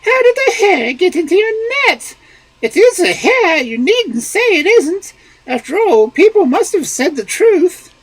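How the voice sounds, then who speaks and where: A voice performing a nagging old peasant wife in a storybook reading, with a shrill, exclamatory manner. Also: female voice, sing-song, a little slow, dry recording, exaggerated voice, low-quality recording. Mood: scolding.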